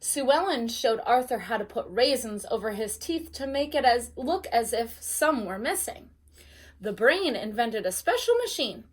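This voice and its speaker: A woman teacher reading a storybook aloud expressively and pausing to put questions to her young listeners. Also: a little high, lively intonation. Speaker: teacher reading a bedtime story to first graders.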